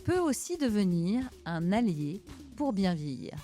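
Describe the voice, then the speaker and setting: A woman reading a scripted introduction in a smooth, measured way. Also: mid-range voice, sing-song, mellow, soft background music. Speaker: narrator.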